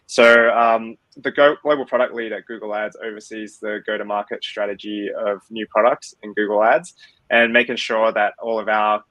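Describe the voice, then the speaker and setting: A man's voice: fairly low pitch, a bit bright, no echo, poor audio. A man with an Australian accent, speaking as an invited guest in a webinar interview, friendly, with frequent ums. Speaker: guest from a tech firm.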